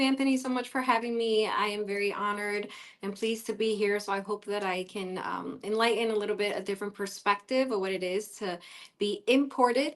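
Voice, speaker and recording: A woman's voice: medium pitch, a bit bright. Female interview guest from Colombia, Spanish as first language, mid-range voice, earnest and reflective personal storytelling.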